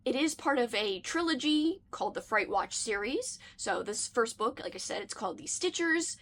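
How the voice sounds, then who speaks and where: A woman's voice: a little high, lively intonation, normal speed, fairly bright tone, a little hiss or hum. Chatty woman with a slightly worn voice. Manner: chatty and enthusiastic, with playful asides. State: slightly losing her voice. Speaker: book reviewer. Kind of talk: casual reading wrap-up chat.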